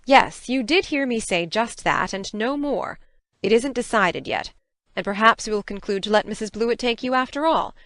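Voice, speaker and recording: Woman acting stern, brisk spinster with lively intonation. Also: a little high, no room sound. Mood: cross, severe. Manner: crisp, scolding, no-nonsense. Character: stern, brisk, practical middle-aged spinster farm woman. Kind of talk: audiobook dramatic reading.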